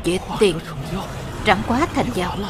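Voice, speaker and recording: A woman reading a scripted voice-over dub for an animated fantasy, taking all the characters' lines herself. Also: medium pitch, slow pace, noisy background. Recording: loud music under the voice. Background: music.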